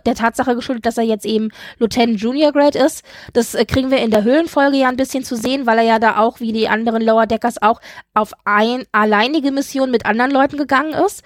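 A woman's voice: fairly high pitch, dry recording, clean studio sound. A woman hosting a podcast, talking through a series review in an analytical, point-by-point way. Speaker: podcast host. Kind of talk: conversational review discussion of a TV series.

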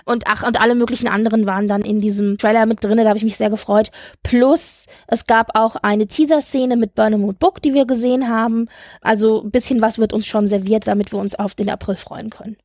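A woman's voice: fairly high pitch, steady tone, fairly quick, a little mellow, dry recording, studio quality. A woman co-hosting a podcast in casual conversation, chatty and enthusiastic as she goes. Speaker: podcast co-host. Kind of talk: casual chat about TV series news.

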